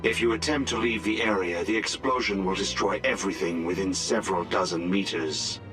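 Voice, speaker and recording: Man voicing a deep, cold rogue AI. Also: a little fast, music in the background, lightly acted. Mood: cold, defiant. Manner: formal, clipped, menacing monotone. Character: hostile rogue artificial intelligence, cold, calculating, defiant. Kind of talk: video game voice acting.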